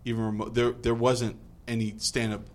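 A young man hosting a podcast, chatting casually in a halting, rambling way with many restarts. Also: medium pitch, completely flat delivery. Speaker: podcast host.

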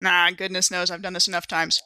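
A woman's voice: fairly low pitch, completely flat delivery, brisk, bright, forceful tone. A woman chatting casually as a player in a tabletop roleplaying game.

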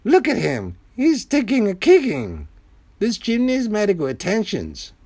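A man's voice: high-pitched, wildly swooping pitch, measured pace, a little mellow, breathy edge, pitched above the performer's own voice, full character voice. A man voicing a baffled, sweary male hospital patient in a radio play, given to exclaiming. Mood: agitated.

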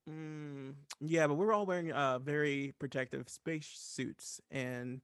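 A man's voice: a little high, fairly even intonation, slow, fairly bright tone, clear, resonant tone, no room sound, studio quality. A man playing a baffled, practical astronaut in improvised comedy, questioning and fed up.

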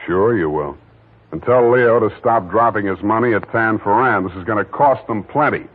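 A hard-boiled male private eye who tosses off dry, clipped wisecracks in a low, husky voice. The sound is thin and phone-like, like a 1940s radio broadcast, with faint music underneath. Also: moderate pace. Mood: wry.

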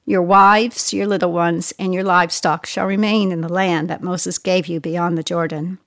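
A woman reading scripture aloud in a solemn, measured, deliberate way. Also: fairly low pitch, level tone, fairly bright tone, no echo, studio quality. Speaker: scripture reader.

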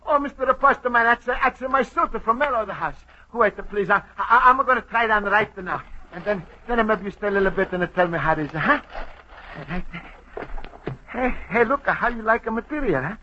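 A naive, excitable Italian immigrant, talking in broken, stammering English with a heavy Italian accent. His voice sits high and bright. The sound is dull and lo-fi, like an old radio broadcast. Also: a little fast, slight background noise, full character voice. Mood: eager. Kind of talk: old-time radio comedy acting.

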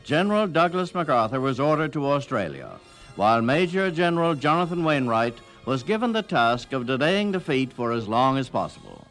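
Animated male radio documentary narrator over music. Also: medium pitch, moderate pace. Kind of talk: dramatic scripted historical narration.

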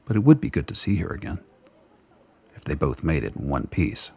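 A man with a husky voice narrating a scripted radio play in prose. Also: very deep voice, very animated, brisk, darkish tone, faint music behind.

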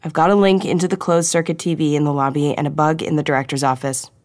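A narrator acts out a female spy in an audio thriller. She is brisk, wry and eager, low in pitch, in a dry close recording. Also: fairly even intonation, a little mellow, dry recording, close to an everyday voice. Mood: eager, conspiratorial. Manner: brisk, wry, holding back amusement.

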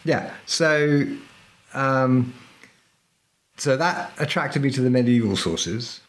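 A man's voice: medium pitch, expressive, takes it slowly. A middle-aged man talking casually as a podcast guest, a historical fencing instructor who speaks in a chatty, emphatic way and often checks in with "right?". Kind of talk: casual interview chat.

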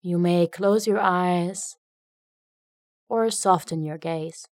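A woman narrating a guided meditation in a gentle, unhurried way, leaving long pauses between phrases. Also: fairly low pitch, no room sound, clean studio sound.

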